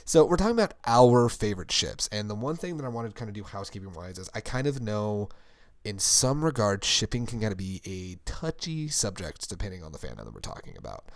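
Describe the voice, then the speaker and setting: A young man co-hosting a casual chat show, his voice hoarse, deep and gravelly from screaming, rambling upbeat and laughing often. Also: very animated, fairly quick, dry recording. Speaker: podcast co-host.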